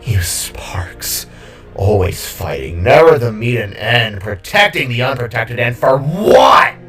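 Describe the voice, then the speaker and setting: A man performs a fallen villain king in an audio drama, mocking and gleefully unhinged. He drags out his words in a groggy, hissing ramble that swoops widely in pitch, with music playing underneath. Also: very animated, slow, a bit bright, distant mic. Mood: bitter, taunting.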